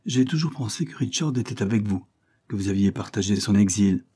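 Un homme jouant une jeune bonne ferme. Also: male voice, lowish voice, lively intonation, warm tone, husky. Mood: earnest. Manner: firm, measured questioning. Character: pale, firm young nursemaid.

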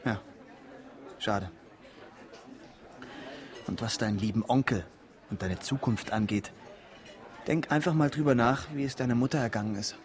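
A man's voice: mid-range voice, normal speed, long pauses, some chatter behind, close to an everyday voice. An actor playing a jealous, scornful young man in a naturalistic radio drama. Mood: dismissive. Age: young adult.

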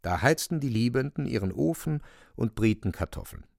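A man narrating a literary radio drama in a gravelly voice. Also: medium pitch, expressive, normal speed, darkish tone, no echo, clean studio sound.

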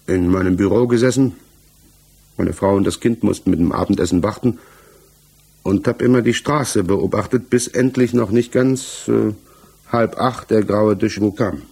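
A man acting a troubled, evasive defendant in a 1960s German radio crime drama, halting and searching for words as he defends himself. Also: fairly low pitch, creaky, darkish tone, slightly rough. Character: troubled male defendant, married father, haunted and evasive. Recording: 1960s mono radio production.

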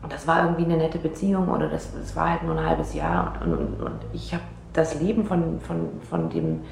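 A woman's voice: on the low side, gravelly, darkish tone. An actress playing a rattled, self-doubting therapy client in her twenties, rambling hesitantly in a naturalistic radio drama. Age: 20s. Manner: hesitant, rambling, filler-laden. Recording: roomy echo, faint background noise.

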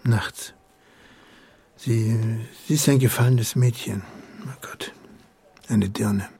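An actor playing a grieving, devout older working man in a German radio drama, speaking in an earnest, halting, heartfelt way. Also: mid-range voice, gravelly, dark tone, husky, exaggerated voice. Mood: troubled, remorseful.

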